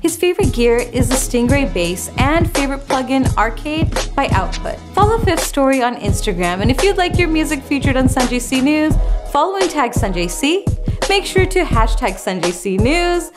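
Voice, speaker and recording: A woman in her thirties co-hosting a music show, presenting and bantering in a playful, expressive way. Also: sits high, moderate pace. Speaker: co-host of a music news show. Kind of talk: upbeat presenting and casual banter. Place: home music studio, indoors.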